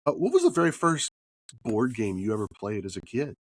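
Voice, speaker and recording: A man hosting a podcast interview, husky from a cold, upbeat and tagging his points with "right?". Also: medium pitch, sing-song, fairly quick, no room sound. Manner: upbeat, often adds "right?". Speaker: podcast host.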